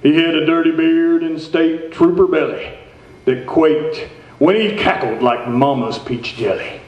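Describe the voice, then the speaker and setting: A man's voice: on the high side, measured pace, lightly acted. A man playing a folksy redneck storyteller and host, reading theatrically in a live stage radio show. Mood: storytelling. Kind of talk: live old-time radio show performance. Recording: roomy, dull stage recording.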